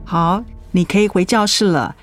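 Gentle, patient woman teacher, acted. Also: mid-range voice, normal speed, faint music behind, lightly acted. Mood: gentle concern. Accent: Taiwanese Mandarin. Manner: gentle, coaxing. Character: caring, patient female high school homeroom teacher. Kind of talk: radio drama acting.

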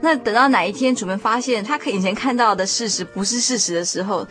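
Woman moderating a chatty panel, mid-pitched voice, bit of music behind her. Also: quick pace, fairly bright tone. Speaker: discussion host.

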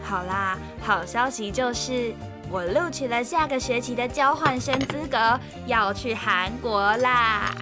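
A woman's voice: fairly high pitch, unhurried, hardly any pauses, over music, lightly acted. A young woman acting a cheerful, curious student in an audio drama, playful and eager with a whiny streak. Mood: playful, indignant. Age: young adult.

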